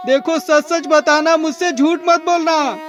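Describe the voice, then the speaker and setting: A man's voice: very high-pitched, fairly even intonation, measured pace, music in the background. A man playing a suspicious, hot-tempered husband in a melodramatic cartoon moral story, speaking emphatically. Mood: angry accusing.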